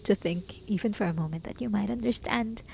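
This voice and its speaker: A woman's voice: medium pitch, fairly quick, gravelly, a little mellow, a little hiss or hum, close to an everyday voice. A woman playing a lonely, obsessive hermit in an intimate roleplay monologue, pleading desperately with sighs and whispers. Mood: wounded, withdrawn. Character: lonely, obsessive, lovesick hermit begging a traveler to stay.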